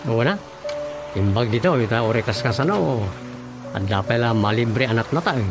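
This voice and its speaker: A man's voice: mid-range voice, expressive, moderate pace, natural voice. An actor with an Ilocano accent playing a plain-spoken, helpful Filipino family man in a comic radio drama. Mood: casual. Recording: radio drama with music underneath.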